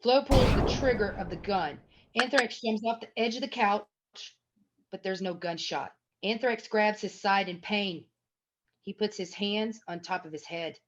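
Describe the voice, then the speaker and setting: Female narrator, scripted screenplay reading, mid-pitched, slightly muffled lo-fi sound. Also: unhurried. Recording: dull, lo-fi sound.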